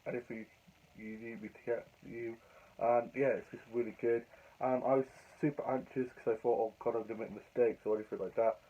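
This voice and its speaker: A young man with a croaky cold voice, rambling chattily through his diary vlog. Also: medium pitch, steady tone, brisk, mellow. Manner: chatty, rambling, fillers and restarts. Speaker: vlogger. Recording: tinny phone-like sound.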